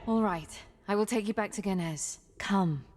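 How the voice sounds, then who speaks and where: A woman's voice: medium pitch, moderate pace, a little mellow, lightly acted. A woman voicing a resolute, protective warrior heroine in a video game, with a firm, earnest, caring manner. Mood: firm concern. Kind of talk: video game cutscene acting.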